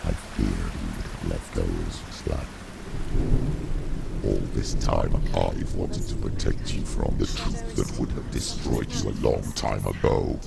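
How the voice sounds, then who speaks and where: A man's voice: very deep voice, measured pace, creaky, very noisy, heavily acted. A man voicing a grave, remorseful shadow of darkness in a video game, solemn and portentous, with a guttural growl. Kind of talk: dramatic video game acting.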